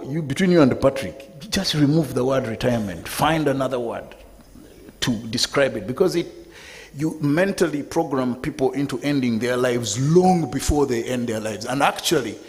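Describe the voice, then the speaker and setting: Man in his fifties, guest speaker, lively and conversational, mid-pitched voice, long hall reverb. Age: 50s. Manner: lively, conversational, addresses the audience directly. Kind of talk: public talk to an audience. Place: event hall with live audience.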